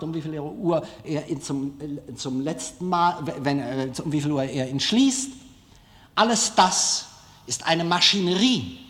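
Man lecturing in a big echoey hall, slow and halting, voice a bit high. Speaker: film director giving a lecture. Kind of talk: public lecture, deliberate and rhetorical. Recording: echoey hall, long reverb.

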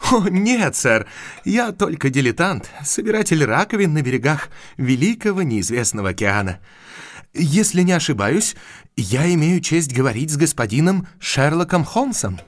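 High-voiced, excitable young country physician. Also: male voice, moderate pace, dry recording, clean studio sound. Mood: modest, courteous. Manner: effusive, courteous, hesitant. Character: young, eager, unpractical country physician, courteous eccentric science enthusiast. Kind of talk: Russian audio drama acting.

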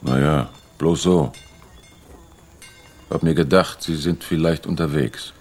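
This is a lean, middle-aged shopkeeper in a radio drama. His voice is extremely low, and he talks in short, obliging phrases without any fuss. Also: fairly even intonation, slow, a little mellow, full character voice. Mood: calm, obliging.

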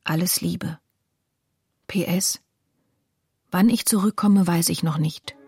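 An actress playing a sombre woman who reads a confessional letter in a radio play, husky and restrained. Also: lowish voice, slow, dark tone, heavily acted. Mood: grave, restrained.

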